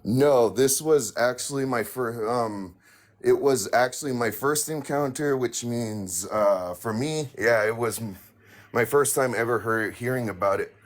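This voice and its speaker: Raspy guy telling a spooky story, real slow, lots of ums. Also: medium pitch. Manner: halting, many fillers and restarts. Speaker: caller sharing a paranormal story. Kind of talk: hesitant casual storytelling.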